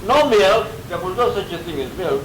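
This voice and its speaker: A man's voice: high-pitched, animated, moderate pace, reverberant, far from the mic, background noise. A man in his eighties, from Greece, telling an interview about his childhood in a rambling way with chuckles. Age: 80s. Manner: chuckling, rambling storyteller with restarts. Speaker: interviewee, grandfather. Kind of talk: casual oral-history interview. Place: home.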